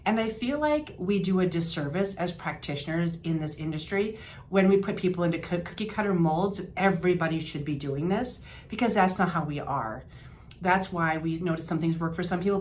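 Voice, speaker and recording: A woman's voice: lowish voice, a little mellow, a little hiss or hum. An older woman, a health practitioner giving casual wellness advice in a homey, chatty way with fillers and restarts. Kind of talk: informal health advice talk to camera.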